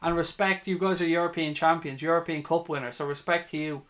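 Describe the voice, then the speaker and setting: A man's voice: fairly high pitch, little pitch movement, brisk, a bit bright. A man with a Dublin Irish accent commentating live on football for a fan livestream, blunt, sweary and opinionated. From Ireland, from Dublin. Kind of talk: live football watch-along commentary, chatting with viewers.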